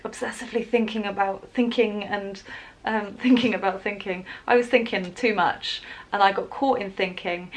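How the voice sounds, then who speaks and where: A woman's voice: mid-range voice, rough recording. A woman talking casually to camera in a rambling vlog, laughing often as she goes. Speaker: wellbeing vlogger. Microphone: camera in front, leaning closer at times.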